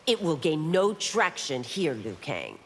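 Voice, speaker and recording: Stern fantasy game constable lady, deep voice, very formal, big reverb and a bit of noise. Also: big pitch swings, unhurried, full character voice. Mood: firm, formal. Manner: formal, firm, authoritative. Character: stern, proud, law-bound female constable of a fantasy realm. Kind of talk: video game character dialogue. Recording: long hall reverb, faint noise.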